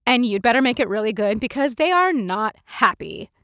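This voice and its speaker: A woman voicing a helpful young woman who explains things in a brisk, matter-of-fact way. Her delivery is plain and steady. Dry, close sound. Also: on the high side, no room sound. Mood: explaining.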